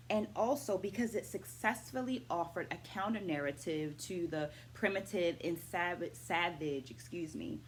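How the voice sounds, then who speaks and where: A middle-aged woman talking through a book for her viewers in a measured, deliberate, instructive way. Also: lowish voice, slight background noise. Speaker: book-review vlog host, dance educator. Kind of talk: educational book discussion to camera.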